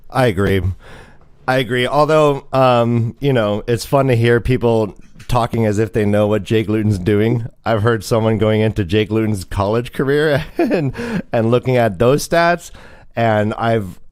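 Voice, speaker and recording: A man's voice: mid-range voice, measured pace, a little mellow, clear, resonant tone. A man hosting a casual fantasy football livestream, chatting away and putting questions to his co-host.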